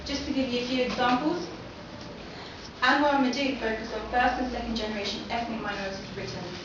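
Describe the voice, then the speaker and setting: A young woman with a fairly high voice gives an academic talk, her questions to the audience carrying through a very echoey lecture hall. She is picked up from far off, and the sound is dull with steady noise behind it. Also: a little fast. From London. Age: young adult. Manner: poses many questions to the audience. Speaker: seminar presenter. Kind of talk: academic presentation.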